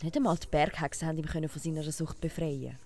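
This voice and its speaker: A woman's voice: low voice, fairly even intonation, quick pace, a little mellow. Woman playing a chatty Swiss German tavern keeper. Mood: gossipy. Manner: no-nonsense, gossipy. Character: brisk, chatty female village tavern keeper. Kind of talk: comic audio drama acting.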